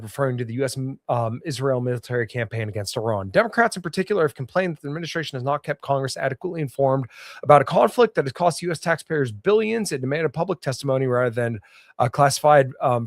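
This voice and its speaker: Slightly hoarse man, blunt opinions. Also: mid-range voice, no room sound, clean studio sound. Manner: blunt, opinionated, reads news aloud and riffs. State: slightly hoarse, getting over illness. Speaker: podcast host. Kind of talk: solo political commentary livestream.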